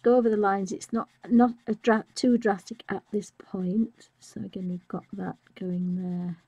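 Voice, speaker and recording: A woman demonstrating a colouring technique live, a bit croaky from a chest infection, speaking softly and musing, often trailing into whispers. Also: medium pitch, little pitch movement, normal speed, no echo. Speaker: art tutor.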